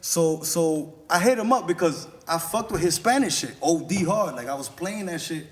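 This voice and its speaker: A man's voice: fairly high pitch, animated, moderate pace. A man who is a rapper guest chatting casually on a podcast, telling stories in a loose, slangy way with lots of restarts. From United States.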